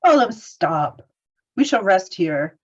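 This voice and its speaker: A woman's voice: mid-range voice, sing-song, slow pace, dull audio. A woman playing a stern, commanding male hobbit in a table read of a fantasy script. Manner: firm, commanding.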